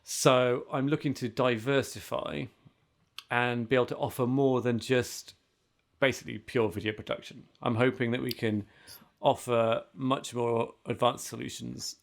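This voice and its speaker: Man chatting casually, creaky voice. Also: mid-range voice, steady tone, moderate pace. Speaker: podcast host. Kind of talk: casual chat. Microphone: remote video call setup with headphones.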